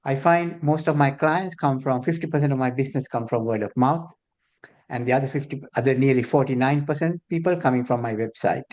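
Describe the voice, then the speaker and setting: A man's voice: medium pitch, darkish tone. A man from Sri Lanka, a therapist and trainer introducing himself matter-of-factly on a video call. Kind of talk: self-introduction on a group call.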